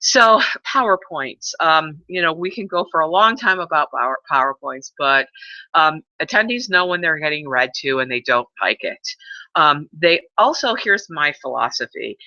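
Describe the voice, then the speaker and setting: A woman organizing a conference, briefing presenters in an earnest, advising way with many fillers and a loud voice. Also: low-pitched, expressive, moderate pace, no echo. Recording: muffled lo-fi webinar audio.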